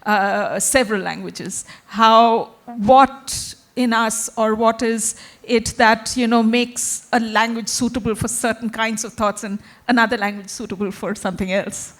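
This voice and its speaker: A woman's voice: on the high side, fairly even intonation, unhurried. A woman facilitator moderating a literary panel. She puts questions to the panelists hesitantly and searches for words with many fillers. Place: stage at a literary festival panel.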